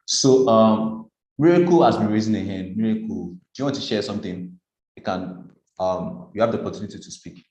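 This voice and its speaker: A man moderating an online training session over a video call. He fills pauses with lots of hesitant ums. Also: on the low side, darkish tone, reverberant, muffled recording.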